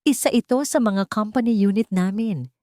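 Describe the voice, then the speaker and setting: Audiobook role: quietly comforting male protector, read by a woman, gentle delivery, dry studio sound. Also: mid-range voice, moderate pace, dry recording, pitched above the performer's own voice, close to an everyday voice. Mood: gentle concern. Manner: soft-spoken, reassuring.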